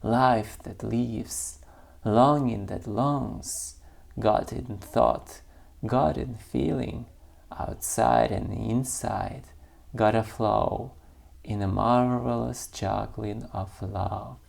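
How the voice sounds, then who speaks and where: A man whose first language is not English, reading his own poem and then musing about it slowly and hesitantly, searching for words. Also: lowish voice, gravelly, darkish tone. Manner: slow, searching, hesitant with many pauses. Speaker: poet and channel creator.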